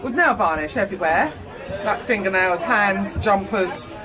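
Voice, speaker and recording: Casting: middle-aged mum, animated casual anecdote-teller who acts out dialogue, recorded amid clear background chatter indoors. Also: female voice, medium pitch, moderate pace, low-quality recording. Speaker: mother telling family stories.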